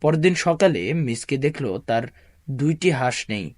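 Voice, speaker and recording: A man's voice: mid-range voice, expressive, a little slow, a little mellow, no room sound, studio quality. A man narrating a children's folk tale as scripted storybook narration.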